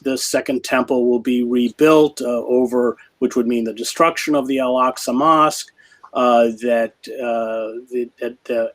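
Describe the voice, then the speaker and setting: A man of middle pitch talks through a serious argument at length. He often pauses and restarts mid-thought, with many filler sounds. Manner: grave, measured argument. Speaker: journalist guest. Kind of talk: interview answer.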